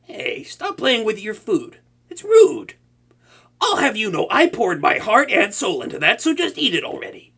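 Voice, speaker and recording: A man's voice: high voice, creaky, bright, forceful tone, heavily acted. A young man voicing a proud, tsundere male supervillain who cooks for a captive hero, stammering and easily flustered in a comedic audio roleplay. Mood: playful. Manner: stammering, flustered, talks to an unseen listener.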